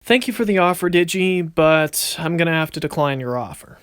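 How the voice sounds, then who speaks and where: A man's voice: on the high side, animated, moderate pace, fairly bright tone, clean tone, dry recording, clean studio sound. A man voicing a confident, cocky but good-natured young card duelist in casual, swaggering banter for an amateur anime-style series. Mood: casual, friendly.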